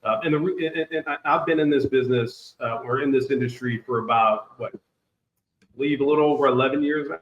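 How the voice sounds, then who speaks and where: A man in his thirties gives conversational interview answers in a halting way, often doubling back on words. The sound is roomy and a little muffled, with light noise behind him. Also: medium pitch. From Liberia, grew up in Ohio. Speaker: guest, financial services wholesaler.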